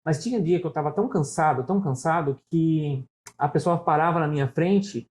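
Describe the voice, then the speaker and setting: A talkative middle-aged Brazilian man with a São Paulo accent, a guest in a relaxed interview. His voice sits a little high for a man but sounds warm and mellow, and he tells his stories at length, tagging his sentences with "né?". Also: fairly quick. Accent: São Paulo Brazilian Portuguese. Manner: storytelling, talkative, frequent "né?" tags. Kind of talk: casual interview conversation.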